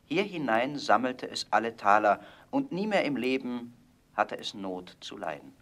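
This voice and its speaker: A man narrating a scripted fairy tale as a storyteller, with measured, expressive storybook phrasing. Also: mid-range voice.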